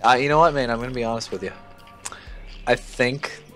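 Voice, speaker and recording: A man's voice: mid-range voice, fairly even intonation, brisk, a bit bright. A man chatting informally while playing a video game, speaking emphatically as he makes his points. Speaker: co-host of a gaming chat show. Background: music.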